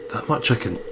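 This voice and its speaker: A young man hosting a let's play, reading a visual novel aloud with playful, sweary commentary. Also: background noise.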